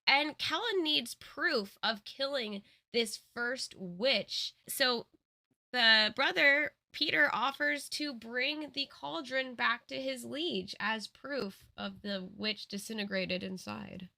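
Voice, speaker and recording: Woman co-host, casual commentary, storytelling, bright crisp tone, slightly high, slow pace.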